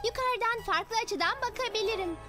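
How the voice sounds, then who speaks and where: A woman voicing a confident, determined young girl leader in a children's cartoon, decisive and expressive. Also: very high-pitched, brisk. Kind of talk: animated children's cartoon dubbing. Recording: music behind the voice.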